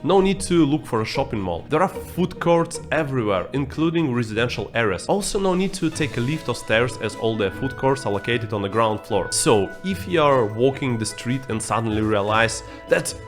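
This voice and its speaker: Man, Russian accent, non-native English speaker, wry sarcastic vlogger, mid-pitched voice, quiet music underneath. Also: animated, moderate pace, hardly any pauses. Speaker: YouTuber. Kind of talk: scripted vlog commentary.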